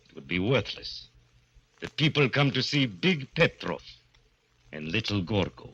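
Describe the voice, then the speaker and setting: A man's voice: fairly low pitch, big pitch swings, unhurried, fairly bright tone, husky, dull audio, exaggerated voice. An actor playing a huge, cold, menacing vaudeville strongman in an old radio horror drama, with stiff, commanding speech. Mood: smooth menace.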